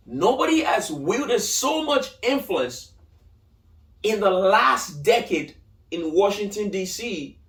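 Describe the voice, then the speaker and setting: High-voiced Nigerian man in an echoey room. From Lagos, Nigeria. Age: 30s. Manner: emphatic, repeats key phrases for effect. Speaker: podcast host. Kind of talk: solo opinion podcast monologue. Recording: echoey room, distant from mic.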